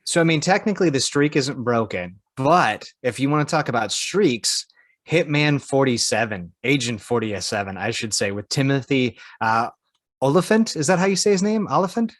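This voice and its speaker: A man's voice: mid-range voice, lively intonation, normal speed, no echo. Casual man on a very dry mic. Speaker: podcast co-host. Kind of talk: casual chat about movies and games.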